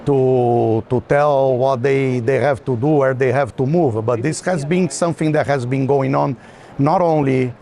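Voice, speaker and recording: A man's voice: mid-range voice, a little slow, gravelly, dark tone. A man speaking as an art collector in informal conversation, hesitant and often restarting his phrases. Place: art fair, walking tour. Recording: background noise of a busy hall.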